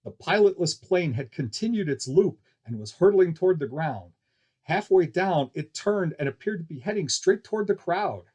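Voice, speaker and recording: A male narrator reads fiction aloud in a scripted storytelling style. His voice sits slightly high for a man and has a husky, unpolished grain. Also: lively intonation, normal speed, darkish tone. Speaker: audiobook narrator reading a novel.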